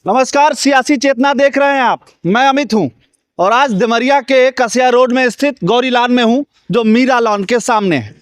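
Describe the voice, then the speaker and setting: A man's voice: extremely high pitch, normal speed, smooth, no room sound, clean studio sound. A man reporting on location at a wedding lawn, speaking in an urgent, indignant way and questioning the people there. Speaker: local news reporter. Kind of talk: on-the-spot news report with interviews.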